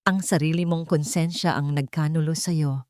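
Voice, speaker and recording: A woman's voice: sits low, steady tone, moderate pace, a little mellow, no room sound, studio quality, close to an everyday voice. A woman voicing a grieving female pilot who speaks with bitter defiance. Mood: defiant. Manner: bitter, unafraid.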